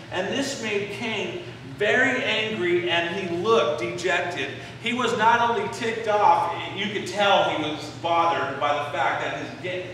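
A man in his forties preaching a sermon in a church sanctuary, explaining a passage with pauses on key phrases, recorded by a camera out in the pews. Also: high-pitched, fairly bright tone, slight background noise. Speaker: pastor. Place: church sanctuary, indoors, medium-sized. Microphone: far camera in the pews. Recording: echoey church from far off.

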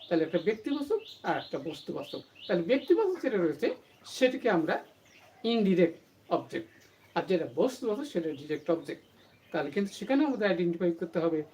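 A low-pitched woman teaches in a highly animated way, stressing and repeating her points. She often poses a question and answers it herself. The mic is at a distance, with faint noise behind her. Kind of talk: grammar lesson to camera.

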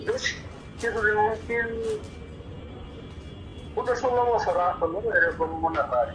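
High, bright-voiced man calling in. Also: unhurried, faint music behind, low-quality recording. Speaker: viewer phoning in to the show. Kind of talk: recounting from memory in conversation.

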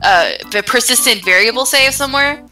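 A woman's voice: on the high side, a little fast, pushed and bright, recorded from a distance, soft background music. A woman chatting casually while playing a game with friends. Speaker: player in a group gaming stream. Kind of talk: casual chat.

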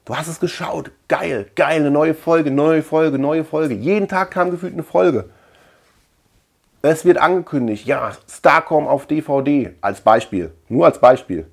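A man's voice: fairly high pitch, a little slow, gravelly. A man chatting casually as a video host, rambling colloquially and repeating words for emphasis. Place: small room at home lined with shelves.

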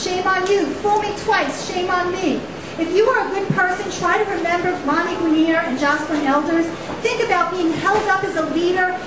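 A woman in her thirties giving a dramatic poetry reading, with emphatic, deliberate phrasing and rhetorical repetition. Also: extremely high pitch. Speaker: poet reading own work. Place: small indoor poetry venue on stage. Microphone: stand microphone, picked up by camera. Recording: echoey live room, distant camera, faint hiss.

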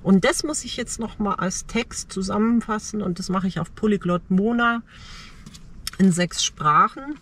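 A middle-aged woman shares personal reflections for a vlog from inside a car. Her medium-pitched voice moves in short, halting, thoughtful phrases. There is some faint noise behind her. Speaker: travel vlogger.

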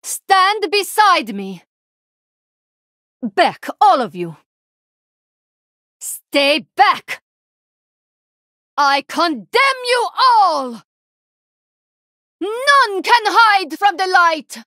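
A woman voicing a solemn, devout alien priestess of the light for a video game, formal and measured in her phrasing. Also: very high voice, big pitch swings, pushed and bright, no echo, clean studio sound, exaggerated voice. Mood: commanding, fierce. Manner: formal, measured, deliberate phrasing without contractions. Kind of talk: video game character voice lines.

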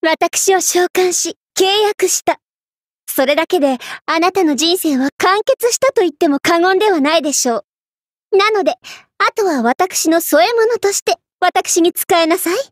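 Haughty young Egyptian queen for an anime game: extremely high voice, theatrical commands, flustered pride, dry studio sound. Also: expressive, normal speed, no echo. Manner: imperious, theatrical, tsundere. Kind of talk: Japanese anime game character voice acting.

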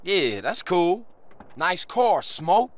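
Casting: brash young American gangster, high animated voice, cocky street talk for a video game. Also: male voice, very slow, heavy background noise, heavily acted. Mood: casual. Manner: cocky, swearing, street slang. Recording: game audio under loud gunfire, engines.

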